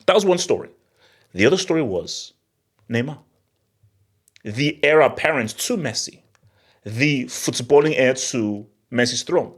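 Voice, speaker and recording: Guy hosting a football vlog, medium pitch, really emphatic and dramatic, repeats stuff to hammer it home. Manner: theatrical, emphatic, repeats phrases for effect. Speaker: host of a football channel. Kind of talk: animated solo football commentary to camera.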